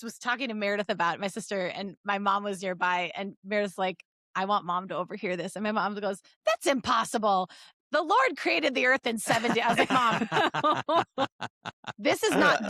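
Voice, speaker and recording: A woman's voice: mid-range voice, animated, a little fast, bright, forceful tone, no room sound. Giggly woman co-host, close dry recording. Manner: giggly, joking. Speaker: podcast co-host. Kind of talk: reading fan fiction aloud with casual comic asides.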